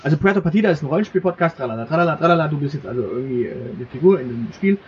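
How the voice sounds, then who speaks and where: Husky, wry podcast host. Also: male voice, a little high, lively intonation, muffled recording. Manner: wry, halting, many fillers and restarts. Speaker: podcast co-host and game master. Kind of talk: casual podcast chat.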